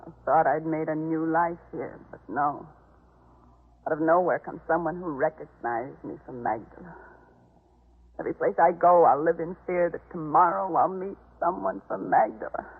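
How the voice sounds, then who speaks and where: Despairing biblical servant, breathy, old radio. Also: female voice, sits low, normal speed. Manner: mournful, melodramatic. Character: despairing female servant with a shamed past, biblical times. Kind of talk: 1950s radio drama acting. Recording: muffled narrowband 1950s radio recording.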